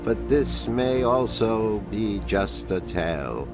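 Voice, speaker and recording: A man voicing an ancient, wise being of a magical people in a fantasy game, speaking in a formal, deliberate, solemn way. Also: lowish voice, very animated, over music, full character voice. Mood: grave, informative. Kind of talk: fantasy game character dialogue.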